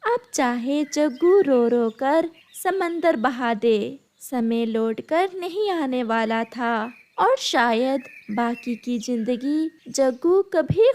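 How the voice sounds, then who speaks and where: Very high, slow female storyteller. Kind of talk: scripted cartoon story narration.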